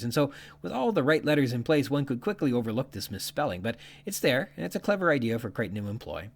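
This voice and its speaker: Guy doing a scripted book commentary podcast, medium pitch, really animated and emphatic. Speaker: podcast host.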